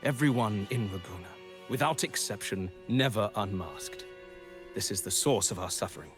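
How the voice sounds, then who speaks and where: A man's voice: on the low side, expressive, normal speed, over music, close to an everyday voice. An actor playing a zealous, solemn male preacher of a cult in a game. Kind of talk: game voice acting.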